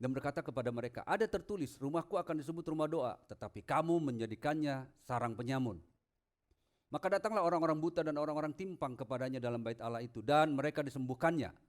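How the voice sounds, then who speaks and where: Male pastor, high voice, emphatic sermon delivery that moves between quiet asides and loud proclamation. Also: lively intonation, fast talker. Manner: rhetorical questions to the congregation. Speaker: pastor preaching. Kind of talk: sermon with scripture readings.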